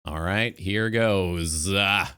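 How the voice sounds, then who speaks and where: A man's voice: deep voice, extremely slow, pushed and bright, clean tone. A man hosting a gaming talk show in a casual, wry, rambling way, correcting himself as he goes. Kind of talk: casual, profane show chat with loose introductions.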